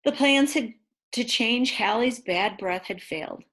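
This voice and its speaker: A woman reads a picture book aloud to young children in an expressive way, adding chatty asides about the pictures. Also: fairly high pitch, unhurried. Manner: expressive, exclaims and gasps over the pictures. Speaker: read-aloud storyteller for children.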